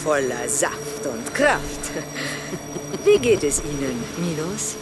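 Slow, silky, imposing yacht lady. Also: sits low, very animated, very noisy, exaggerated voice. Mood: silky, commanding. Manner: slow, drawn-out, coolly gracious. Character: imposing rich female yacht guest, silky and predatory. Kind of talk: audio drama acting.

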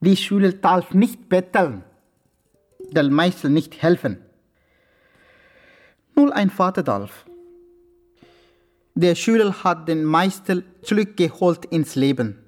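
An actor playing a solemn martial arts master who speaks in clipped, broken proverbs in a German radio crime comedy. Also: male voice, high voice, lively intonation, slow pace, pauses often, soft background music, heavily acted. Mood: stern, solemn. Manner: sententious, clipped broken grammar.

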